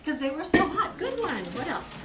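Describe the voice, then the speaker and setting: A woman's voice: high-pitched, sing-song, normal speed. A woman teaching young children through an interactive read-aloud, giving instructions, asking questions and repeating answers. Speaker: teacher leading a first grade class. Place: indoor classroom with children. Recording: echoey noisy classroom, distant mic.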